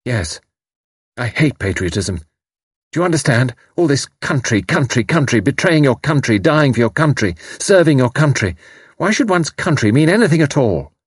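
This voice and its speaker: A man's voice: on the low side, lively intonation, moderate pace, pauses often, breathy edge, no room sound, studio quality. A man voicing a fierce, bitter, impetuous young woman in an audiobook, with intense, emphatic delivery. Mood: bitter, impassioned. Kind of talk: audiobook character dialogue.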